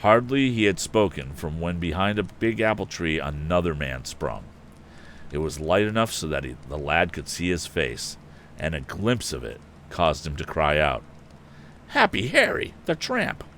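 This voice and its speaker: Male story reader, low voice, expressive and varied delivery, casual host manner, slight background noise. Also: normal speed. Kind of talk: expressive book narration with casual asides. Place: indoor live stream.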